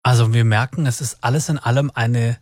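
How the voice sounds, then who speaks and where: A man's voice: medium pitch, fairly even intonation, a little fast, a little mellow, dry recording, clean studio sound. A man hosting a radio true-crime podcast, who moderates in a structured way, sums things up and lightly teases his co-host. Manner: structured, summarizing, lightly teasing.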